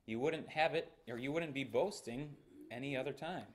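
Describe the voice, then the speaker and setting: A man's voice: medium pitch, normal speed. A young man with an American accent preaching a sermon in a small church, earnest and emphatic, gesturing as he speaks. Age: young adult. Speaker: pastor. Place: small church sanctuary, indoors. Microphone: fixed camera at the front of the church.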